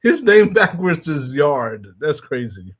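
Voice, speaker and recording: A man hosting a reaction video, dropping excited quick asides. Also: on the high side, over music. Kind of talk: reacting to a documentary.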